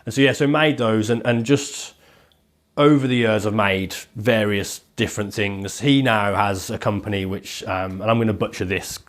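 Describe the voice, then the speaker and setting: Man, thirties, stuffy nasal cold, lowish voice, rambling casual talk. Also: fairly even intonation, moderate pace. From England. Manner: casual, rambling, heavy use of "like". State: has a head cold. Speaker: podcast guest, leather maker. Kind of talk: casual chat.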